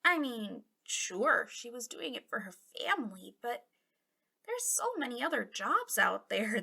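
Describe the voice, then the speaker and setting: Slow, breathy villainess acted by a woman. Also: on the high side, sharp tone, heavily acted. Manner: intimate, dramatic, drifting into whispers. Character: disillusioned female villain guarding a captive, bitter and impassioned. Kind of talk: ASMR roleplay monologue.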